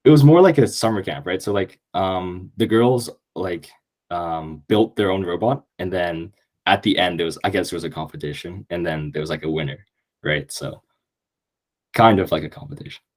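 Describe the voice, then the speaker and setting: A man's voice: low voice, moderate pace, darkish tone. A young man from Canada, talking in an eager, upbeat way on a podcast, with many fillers. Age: young adult. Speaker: podcast guest, incoming university student. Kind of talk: casual podcast conversation.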